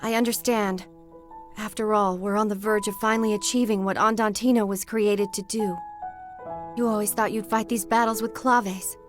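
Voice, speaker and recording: A woman's voice: mid-range voice, little pitch movement, normal speed, soft background music, lightly acted. A woman playing a caring, spirited young fighter in a video game, speaking frankly and directly. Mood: concerned.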